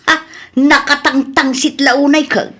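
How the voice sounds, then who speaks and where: A woman playing a meddling, protective mother in a radio drama, with an animated, scolding manner. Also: high voice, measured pace. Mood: defiant, scolding. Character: meddling, hot-headed mother, protective of her grown son. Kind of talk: Ilocano radio drama acting.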